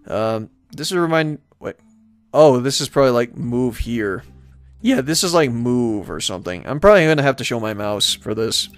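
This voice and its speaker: A man giving casual video game commentary, thinking aloud in a rambling, halting way as he plays and reads from the screen. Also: mid-range voice, expressive, no room sound, soft background music. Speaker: let's-play host.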